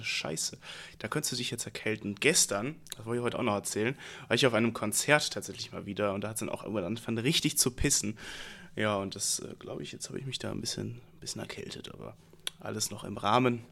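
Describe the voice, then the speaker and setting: A young man with a stuffy nose from a cold, telling a relaxed, rambling story in slangy casual chat as a podcast host. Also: fairly low pitch, fast, vocal fry.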